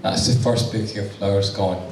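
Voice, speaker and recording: A man with a husky voice giving an unprepared wedding thank-you speech as the groom, hesitant with many ums. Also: fairly low pitch, steady tone, normal speed, very noisy. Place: wedding reception hall with guests. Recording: echoey hall, distant mic, loud crowd.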